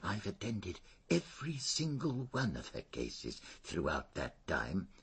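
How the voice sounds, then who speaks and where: An actor playing a fussy, dignified older former schoolmaster in a radio drama, an English man speaking in a hesitant, precise, formal way with a husky voice. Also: low-pitched, huge pitch swings, unhurried, a bit bright, heavily acted. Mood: reminiscing, proud.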